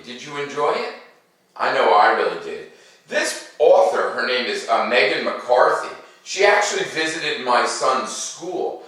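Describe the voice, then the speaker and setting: A middle-aged man reading a children's storybook aloud and chatting to young viewers in an emphatic, expressive way. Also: medium pitch, slightly rough, low-quality recording. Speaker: read-aloud host reading a children's picture book.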